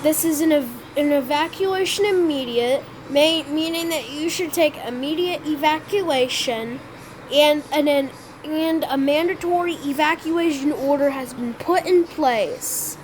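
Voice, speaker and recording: Stumbling emergency alert announcer, voiced by a woman, high voice, slow halting delivery, noisy game sound underneath. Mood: urgent. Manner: halting improvised announcement, frequent restarts and self-corrections. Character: improvised emergency alert announcer, stiff and official.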